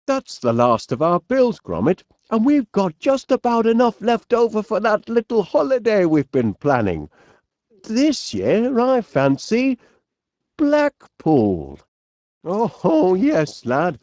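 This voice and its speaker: A genial, bumbling middle-aged English inventor for a comedy video game. He has a Northern English accent and takes his time over his words, with pitch that swoops about. He is eager yet easily flustered and hesitant, recorded in a very dry studio sound. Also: wildly swooping pitch, takes it slowly, darkish tone, no room sound, full character voice. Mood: pleased. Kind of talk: animated comedy game voice acting.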